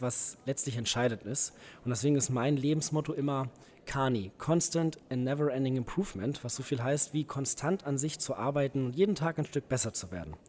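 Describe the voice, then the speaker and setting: A man's voice: medium pitch, a little mellow, slight background noise. Composed young male event host. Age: young adult. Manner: articulate, composed, reflective. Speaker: event host and rhetoric trainer. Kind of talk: interview.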